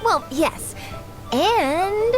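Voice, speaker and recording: A woman's voice: very high voice, animated, very slow, over music, full character voice. A woman voicing a perky, chatty young female reporter in an anime game, eager and teasing. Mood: playful.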